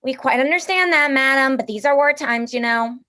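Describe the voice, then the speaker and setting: A woman's voice: high voice, fairly quick, bright, forceful tone. A woman playing a formal, unruffled hospital receptionist in a 1940s-style radio drama, polite and officious.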